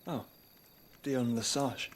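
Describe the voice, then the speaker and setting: An actor playing a weary, dignified young nobleman, speaking in measured, eloquent and solemn phrases for a video game cutscene. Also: mid-range voice, steady tone, a little hiss or hum, close to an everyday voice. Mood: reflective.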